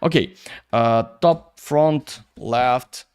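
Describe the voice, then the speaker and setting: A man who is not a native English speaker, teaching a software tutorial and thinking aloud while he works, with many fillers and restarts. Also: mid-range voice, very slow, clear, resonant tone. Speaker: 3D visualization instructor. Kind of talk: screen-recorded software tutorial.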